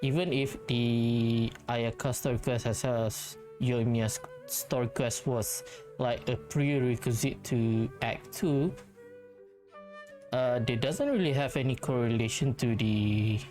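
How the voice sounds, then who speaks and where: A man doing casual gameplay commentary, rambling and halting as he thinks aloud. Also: medium pitch, steady tone, mellow. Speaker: gaming video host. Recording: game music behind the voice. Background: video game music.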